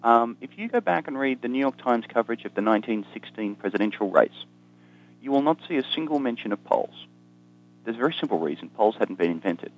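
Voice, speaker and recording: A man's voice: mid-range voice, expressive, a little fast, low-quality recording. An Australian man, an economist guest, explaining ideas in conversation with dry humor and frequent ums. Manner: dry self-deprecating humor, many ums and restarts.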